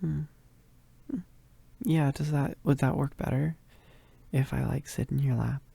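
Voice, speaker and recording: A performer voicing a shy, needy, affectionate young werewolf roommate in a roleplay ASMR, with soft, breathy, halting, giggly speech that often drops to whispers. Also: mid-range voice, fairly even intonation, heavily acted. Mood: shy, content. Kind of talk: roleplay ASMR, intimate one-sided dialogue.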